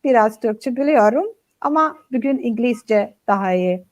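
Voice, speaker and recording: A woman's voice: on the high side, animated, normal speed, darkish tone. A woman in her forties from Italy, Italian her first language, introducing herself cheerfully. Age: 40s. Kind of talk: casual self-introduction. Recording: distant from the mic.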